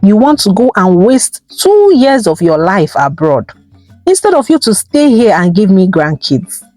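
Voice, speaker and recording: A woman's voice: medium pitch, very animated, measured pace, mellow, dry recording, soft background music. A woman with a Nigerian accent voicing a scornful, scolding older mother-in-law in a folktale.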